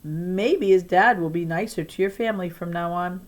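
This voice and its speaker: A woman's voice: fairly low pitch, moderate pace, close to an everyday voice. A woman reader voicing a young schoolgirl friend in a storybook, in a matter-of-fact manner. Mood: unsurprised.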